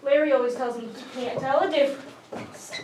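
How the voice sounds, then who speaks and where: Woman playing a high-voiced, gushing neighbourhood lady. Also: steady tone, moderate pace, a little mellow, lightly acted. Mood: breezy, rambling. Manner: gushing, rambling, sugary politeness with backhanded remarks. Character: sweet, chatty, scatterbrained neighbourhood lady throwing a party. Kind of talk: staged play reading. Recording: distant roomy hall, clear background noise.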